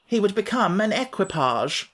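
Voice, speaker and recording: A man's voice: high-pitched, big pitch swings, moderate pace, a bit bright, pitched above the performer's own voice, heavily acted. A man voicing a pompous, over-educated male insect who lectures stiffly and firmly.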